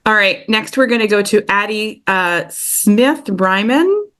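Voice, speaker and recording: A woman's voice: medium pitch, lively intonation, measured pace, a bit bright. Upbeat woman running a public comment session on a video call, lots of ums. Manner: upbeat, effusive, lots of fillers. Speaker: committee chair. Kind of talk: chairing a remote public meeting.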